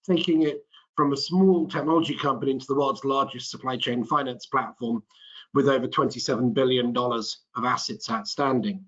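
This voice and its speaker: Man, middle-aged, medium pitch, measured corporate webinar presenter, muffled recording. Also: fairly bright tone. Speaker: company chief executive and webinar presenter.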